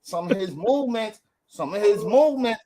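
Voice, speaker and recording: A man, rambling and animated as a co-host in a casual comedy podcast chat. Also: sits high, normal speed, a loud crowd.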